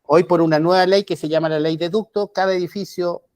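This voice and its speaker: Chilean man, slightly high voice, dry close recording, halting delivery. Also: steady tone, darkish tone, clear, resonant tone, dry recording. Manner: halting, restarts words. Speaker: podcast co-host. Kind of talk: casual chat.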